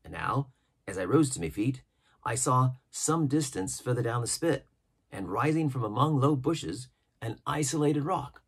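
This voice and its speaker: A man with a husky voice reading an adventure novel aloud as scripted narration. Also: mid-range voice. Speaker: storybook narrator.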